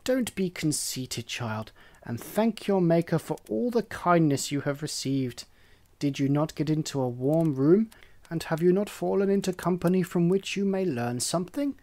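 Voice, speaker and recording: A storyteller voicing a conceited, bossy hen who lectures in a raised, high voice. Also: expressive, normal speed, warm tone, natural voice. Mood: scolding. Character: bossy conceited hen, scolding and self-important. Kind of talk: storybook reading.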